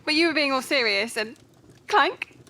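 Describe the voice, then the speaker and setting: A young Victorian trainee nurse in an audio drama. She is high-voiced and irreverent, halting and giggly, her pitch jumping about. The background is noisy. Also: expressive, vocal fry. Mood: amused, sheepish.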